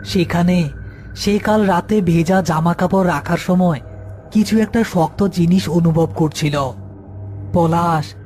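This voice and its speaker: A man's voice: high voice, slow pace, a little mellow, soft background music. A man narrating a scripted horror folk tale as storyteller.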